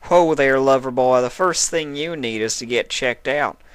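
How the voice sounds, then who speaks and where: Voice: mid-range voice, moderate pace, clean tone, no room sound, voice pushed up, close to an everyday voice. A performer voicing a plain-spoken, teasing female cartoon farm pony with folksy, forthright country talk. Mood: concerned, firm.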